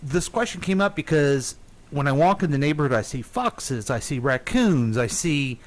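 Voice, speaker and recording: A man's voice: on the high side, lively intonation, moderate pace. A man hosting a casual show, bantering and asking questions in a playful, teasing way. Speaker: radio show host.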